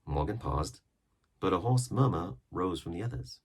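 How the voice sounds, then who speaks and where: A man reading an adventure story aloud as expressive scripted narration. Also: deep voice, moderate pace, breathy edge. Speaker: audiobook narrator.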